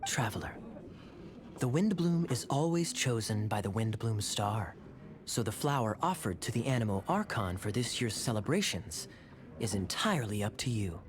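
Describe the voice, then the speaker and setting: An actor playing a suave, teasing young knight captain in a video game, smooth-talking and theatrical. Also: male voice, on the low side, animated, normal speed, natural voice. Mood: sly teasing.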